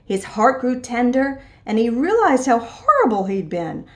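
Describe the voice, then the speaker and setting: A woman reading a children's storybook aloud in an expressive storytelling style. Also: a little high, measured pace. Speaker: storybook reader. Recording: echoey room.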